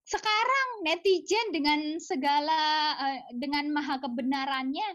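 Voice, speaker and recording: A woman's voice: sits high, unhurried, fairly bright tone, dry recording, clean studio sound. A woman chatting casually and emphatically as an interview guest, outspoken, with rhetorical questions and the odd English phrase. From Indonesia. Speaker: podcast guest, researcher living in Japan. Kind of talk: casual, opinionated interview chat.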